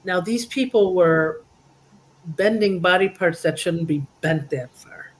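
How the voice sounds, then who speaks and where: Co-host for a casual spiritual roundtable, mid-range voice, rambling storyteller with an animated, teasing streak. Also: medium pitch, unhurried, a little hiss or hum, low-quality recording. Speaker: roundtable co-host reading cards.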